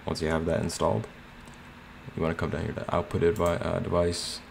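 A man's voice: low voice, flat delivery, fairly quick. A man narrating a casual screen tutorial in a plain, slightly hesitant way. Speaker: tutorial host. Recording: clear background noise.

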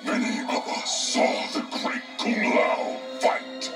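A man's voice: slow pace, over loud music, lightly acted. A man voicing a solemn undead warrior made of many souls, speaking formally and imperiously as one collective in a fighting game. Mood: stern. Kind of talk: fighting game character dialogue.

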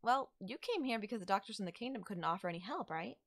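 A woman's voice: mid-range voice, lively intonation, fairly bright tone, dry recording, studio quality. Playful, lilting witch girl, acted by a woman. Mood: breezy, confiding. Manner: coy, soothing, conversational with drawn-out words. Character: playful, teasing young female forest witch, gently reassuring. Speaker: roleplay voice actor. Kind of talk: ASMR audio roleplay, addressed to the listener.

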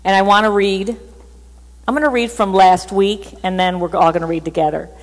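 Middle-aged woman pastor, sermon, casual and animated, mid pitch, long church hall reverb. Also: normal speed. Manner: chatty, animated, laughs at herself. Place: church stage with band gear.

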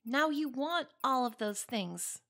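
A woman in an audio drama playing an earnest, excitable, kindhearted young female spirit companion, perky and informal. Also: sits high, slow, full character voice. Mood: earnest, pleading.

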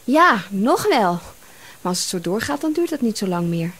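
A woman's voice: mid-range voice, big pitch swings, normal speed, a bit bright, husky. An actress playing an exasperated female detective of about thirty in a radio drama, indignant and pleading. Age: 30s.

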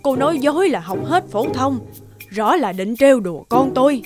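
A woman's voice: high-pitched, lively intonation, moderate pace, over music. A woman playing a stern, suspicious older mother in a cartoon, speaking curtly and accusingly. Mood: angry, accusing. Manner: sharp, curt, sarcastic. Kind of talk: Vietnamese cartoon dialogue.

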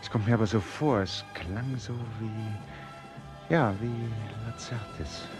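A man's voice: fairly low pitch, slow pace, over music. An actor playing a puzzled, wistful painter in a literary radio play, musing and questioning as he speaks. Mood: searching. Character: searching, puzzled male painter and narrator, wistful.

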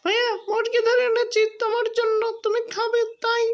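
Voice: very high-pitched, level tone, brisk. A performer voicing a young fox, a pleading, whiny chicken thief, in a cartoon. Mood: eager. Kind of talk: cartoon voice acting.

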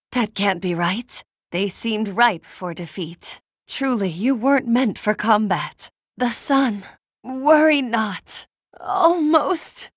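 A meek young lady from a video game. She is formal, downcast and forever apologizing. Her lines come slowly and quietly subdued, in a dry recording. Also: on the high side, no room sound. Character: meek, gloomy, self-deprecating young noblewoman. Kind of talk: video game battle voice clips.

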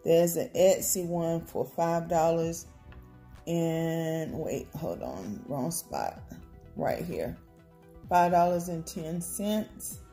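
An American woman vlogging casually at home, comparing prices in an unhurried, chatty way with lots of ums. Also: low-pitched, lively intonation, warm tone, soft background music. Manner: chatty, unhurried, many ums and self-corrections. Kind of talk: casual product comparison vlog. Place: home table, indoors.

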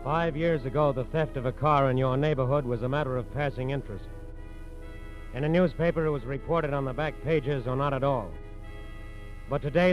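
An American man giving grave, measured scripted narration for a 1940s radio crime drama. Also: medium pitch. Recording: dull vintage radio, music behind.

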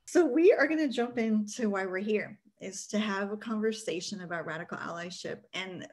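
A woman in her forties hosts a panel over a video call from a small room at home. She introduces each guest in a bright, effusive way, at a middling pitch and an easy, chatty pace. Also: normal speed. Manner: upbeat, effusive. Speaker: host. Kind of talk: casual panel hosting.

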